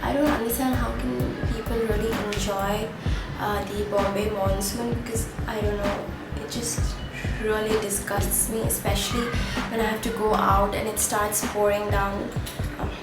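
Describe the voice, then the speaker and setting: A woman's voice: mid-range voice, level tone, normal speed. A young woman, chatting through a makeup tutorial with plenty of ums and uhs, sounding stuffy from a cold. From India. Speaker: beauty vlogger. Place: home room at a mirror. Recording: echoey room, camera at a distance, loud music behind.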